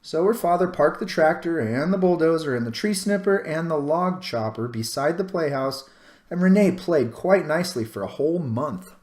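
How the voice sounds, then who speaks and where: Male storybook narrator, highly expressive pitch, dramatic pauses, drawn-out phrases, read-aloud for children. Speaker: storybook reader.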